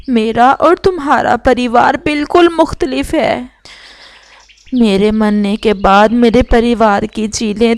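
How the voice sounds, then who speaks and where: A woman voicing a protective, ailing mother kite bird, tender but firm, in an earnest, motherly manner for a children's cartoon story. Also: a little high.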